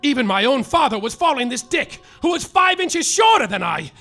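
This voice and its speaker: A man playing a hapless hardboiled private detective, narrating in a wry noir deadpan on a live stage. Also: very high voice, brisk, faint music behind, full character voice. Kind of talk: live comedic radio-play narration.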